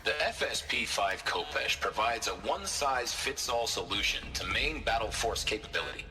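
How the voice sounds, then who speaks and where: A man playing a slick, confident military hardware announcer in a video game, reading a punchy scripted sales pitch. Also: expressive, moderate pace, over music, close to an everyday voice. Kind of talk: scripted promotional narration.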